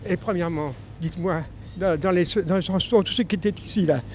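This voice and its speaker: A man's voice: high-pitched, brisk, a little hiss or hum. A man interviewed at an outdoor market, indignant and stumbling over his words, speaking into a reporter's mic. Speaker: right-wing voter interviewed at a market.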